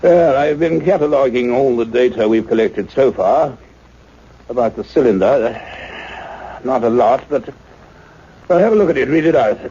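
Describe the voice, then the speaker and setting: A man's voice: medium pitch, lively intonation, moderate pace, long pauses, dark tone, slight background noise, natural voice. An actor playing an earnest male scientist, speaking in a precise, formal radio-play manner. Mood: pondering, intent. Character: earnest male scientist doctor narrating his investigation. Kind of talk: radio play acting and narration. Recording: dull, lo-fi radio drama recording.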